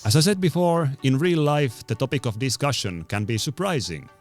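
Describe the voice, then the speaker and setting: A man's voice: medium pitch, lively intonation, normal speed, soft background music. A man with a Finnish accent giving dry, wry voice-over narration for his vlog. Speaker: vlogger.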